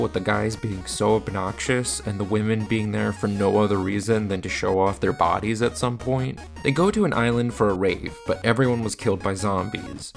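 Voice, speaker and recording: A man's voice: on the low side, gravelly. A man narrating a scripted video review with casual asides, in a blunt, exasperated manner.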